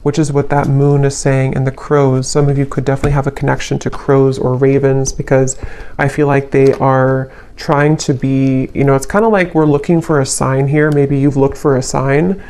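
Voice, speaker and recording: A woman giving an unscripted tarot reading in a reflective, halting way, letting her phrases trail. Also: extremely low pitch, little pitch movement, mellow. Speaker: tarot reader, channel host.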